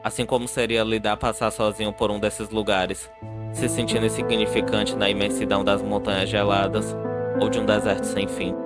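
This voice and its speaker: A man's voice: fairly low pitch, little pitch movement, moderate pace. Young Brazilian man, playful narrator over music. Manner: playful, self-mocking, mock-dramatic. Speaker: animator and YouTuber. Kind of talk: comedic storytelling narration for an animation video.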